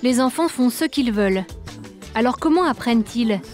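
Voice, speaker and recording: A woman narrates a documentary in a calm, measured way, her voice sitting a little higher than most. Soft music runs faintly under the studio voice-over.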